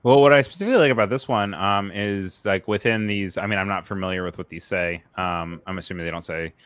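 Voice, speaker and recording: American male talk show host, low-pitched, resonant and easygoing. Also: steady tone, fast, fairly bright tone, clean tone, no room sound. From United States. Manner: easygoing, with many fillers. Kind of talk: live-stream interview.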